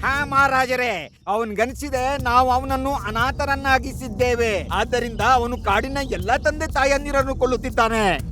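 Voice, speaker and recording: A man as a very high-pitched animal courtier. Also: normal speed. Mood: anxious, respectful. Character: deferential animal courtier reporting to his king. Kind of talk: cartoon story voice acting.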